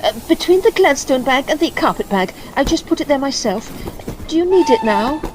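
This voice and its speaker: A woman's voice: high voice, expressive, slight background noise, rough recording. A woman playing a polite, earnest young Edwardian lady in an audio drama, with a formal English manner of speech. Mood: helpful, brisk. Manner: genteel, measured, formal.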